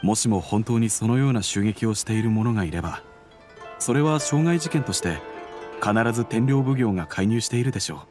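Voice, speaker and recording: A man playing an earnest detective agency employee in an anime game, speaking in a formal, deferential, measured way. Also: on the low side, lively intonation, close to an everyday voice. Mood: deferential, helpful. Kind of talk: Japanese anime game dialogue. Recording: faint music under the voice.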